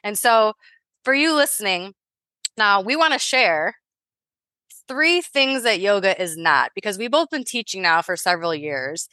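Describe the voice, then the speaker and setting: Bright-voiced chatty woman in her thirties. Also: mid-range voice, lively intonation, unhurried, no echo. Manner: chatty, storytelling, with laughs and restarts. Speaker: podcast co-host, yoga teacher. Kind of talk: casual podcast chat.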